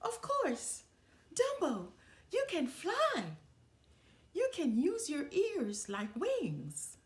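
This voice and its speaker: A woman's voice: high-pitched, wildly swooping pitch, very slow, pitched above the performer's own voice, heavily acted. A woman voicing a small, plucky male mouse in a storybook, eager and exclaiming. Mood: excited.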